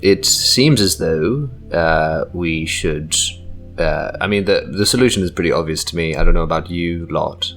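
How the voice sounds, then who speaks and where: A man with a low voice and a New Zealand accent. He improvises in a casual way as a tabletop game player, with faint music behind him. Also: normal speed, fairly bright tone. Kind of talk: casual improvised role-play. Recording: music bed under the voice.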